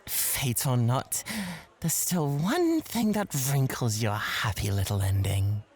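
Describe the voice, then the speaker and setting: Man acting, sly manipulative male club host, ASMR roleplay, very animated intonation, flirtatious, teasing, drawn-out emphasis. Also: medium pitch, slightly rough, exaggerated voice. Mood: teasing, challenging.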